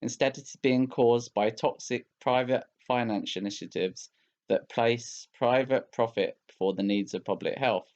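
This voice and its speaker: A man's voice: medium pitch, moderate pace, a little mellow. A man reading a scripted political commentary in a formal, polemical manner on a slightly dull recording.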